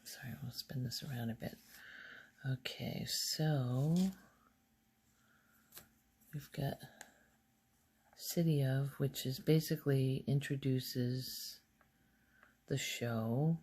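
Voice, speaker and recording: A soft-spoken woman recording casual ASMR, rambling in a hushed voice and musing aloud as she goes. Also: a bass voice, fairly even intonation, slow pace, pauses often, husky. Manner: hesitant, musing aloud, trailing off. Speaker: ASMR video creator.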